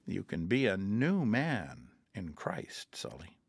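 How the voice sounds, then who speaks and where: An actor playing a patient mission counselor in a radio drama, talking calmly and earnestly and quoting scripture. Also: male voice, sits low, slow, creaky, husky, no echo, exaggerated voice. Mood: gentle. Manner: calm, earnest, quotes scripture.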